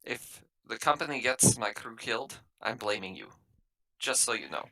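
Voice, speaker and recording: A man's voice: medium pitch, lively intonation, normal speed, vocal fry, pushed and bright, heavily acted, rough recording. A man playing a blunt, protective female starship captain in a tabletop game, with terse delivery. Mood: cold, warning.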